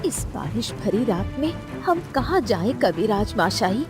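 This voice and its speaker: Woman acting a plaintive village mother, very expressive. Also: medium pitch, a little slow, music in the background. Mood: pleading. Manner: pleading, plaintive. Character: distressed, imploring poor village mother. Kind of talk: Hindi cartoon story dialogue.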